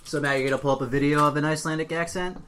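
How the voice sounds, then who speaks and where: A man's voice: on the high side, steady tone, racing, a bit bright, slight background noise. A young man co-hosting a comedic podcast, dry and hesitant with frequent stammers. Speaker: podcast co-host.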